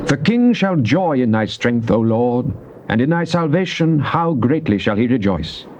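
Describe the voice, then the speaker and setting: An actor playing a devout psalmist king, reciting scripture in a solemn, declamatory, deliberate way. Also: mid-range voice, big pitch swings, darkish tone. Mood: solemn, exalted. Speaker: actor reading Psalms in a dramatized Bible recording. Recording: muffled old cassette tape with faint noise.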